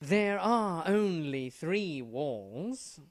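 Man as a very slow, didactic cartoon prisoner. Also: on the high side, very animated, no echo, studio quality, heavily acted. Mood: questioning. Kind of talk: animated comedy dialogue.

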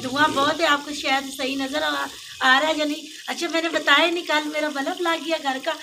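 A woman's voice: sits high, level tone, normal speed. A woman with a Pakistani Urdu accent, chatting homely through a recipe as she cooks outdoors at a clay stove at night, and pleading with viewers to subscribe. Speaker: cooking vlogger. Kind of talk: casual cooking narration. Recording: distant voice with sizzling noise.

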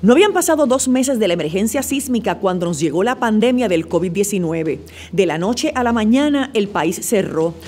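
Mellow woman narrating formally. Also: medium pitch, expressive, a little fast. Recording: faint music behind the voice.

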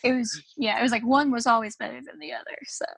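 A woman's voice: fairly high pitch, fairly even intonation, fast, vocal fry, a bit bright, husky. A woman speaking as an interview guest, chatty with many filler words. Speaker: guest, former church member. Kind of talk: casual interview.

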